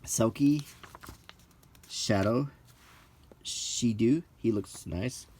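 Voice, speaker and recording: A middle-aged man hosting a casual, ASMR-like show-and-tell, reading out names in a halting, list-like way with brief musing asides. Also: mid-range voice, warm tone, husky. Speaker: video host.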